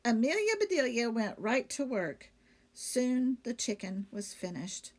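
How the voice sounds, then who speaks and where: A woman teacher reading a children's story aloud, pausing to question and explain to young listeners. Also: fairly high pitch, very animated, darkish tone.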